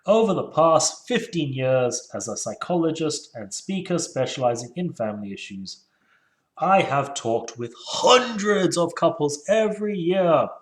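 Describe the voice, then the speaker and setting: A man's voice: a little high, lively intonation, measured pace. A man reading a book aloud and critiquing it casually, with sardonic, rambling asides. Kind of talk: casual solo commentary with read-aloud passages.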